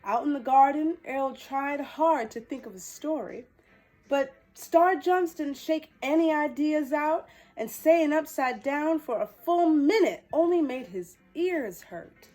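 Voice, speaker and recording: Storytime host, female: high, singsong range, unhurried pace, playful picture-book reading. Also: expressive, mellow, faint music behind, rough recording. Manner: playful, exclamatory. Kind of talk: expressive children's picture-book reading with chatty asides.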